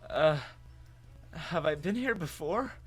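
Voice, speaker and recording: A man's voice: a little high, lively intonation, takes it slowly, a bit bright, husky, soft background music, exaggerated voice. A man playing a bewildered human with lost memory, narrating his own story in a dramatic, hesitant, wondering way. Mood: confused, hesitant.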